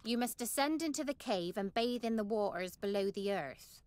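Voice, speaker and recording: An actress plays a stern village attendant in a fantasy game, delivering ritual words formally. Her voice moves widely in pitch and sounds dry and close. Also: lively intonation, moderate pace, darkish tone, no echo, natural voice. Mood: solemn. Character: solemn village attendant speaking for an old seeress.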